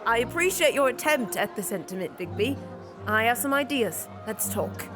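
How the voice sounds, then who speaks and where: A woman's voice: fairly high pitch, animated, normal speed, over music, lightly acted. A woman playing a determined young leader of street irregulars, decisive and no-nonsense, in an audio drama. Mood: brisk, commanding. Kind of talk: children's audio drama acting.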